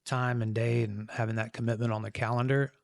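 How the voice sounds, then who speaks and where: A man's voice: mid-range voice, little pitch movement, dry recording. A man co-hosting a casual podcast chat with his partner, speaking hesitantly with frequent restarts. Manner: hesitant, halting, restarts often. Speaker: podcast co-host.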